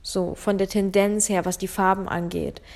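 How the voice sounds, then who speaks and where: A woman's voice: medium pitch, fairly even intonation, moderate pace, darkish tone. Reflective woman hosting a podcast. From Ukraine. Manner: reflective, conversational, many fillers. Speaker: podcast host. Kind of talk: solo podcast monologue.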